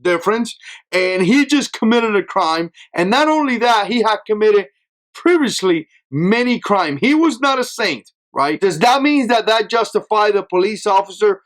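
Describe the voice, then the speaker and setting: A man's voice: sits high, animated, moderate pace, fairly bright tone. A man with an accent, host of his own channel, giving an emphatic opinion commentary that is full of tag questions like "right?". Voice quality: speaks with an accent that is not standard American.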